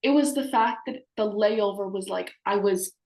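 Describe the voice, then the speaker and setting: A woman's voice: medium pitch, normal speed, a little mellow. A woman in her early twenties with an American accent chats casually as a podcast co-host, talking with a close friend. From United States. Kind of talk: casual chat between friends. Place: remote call from an echoey indoor room. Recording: roomy, echoey video call audio.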